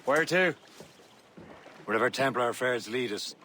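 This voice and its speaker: An actor playing a resolute, confident assassin sailor in a video game, plain and self-assured in manner. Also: male voice, medium pitch, moderate pace, pushed and bright, a little hiss or hum, natural voice. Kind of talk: video game cutscene acting.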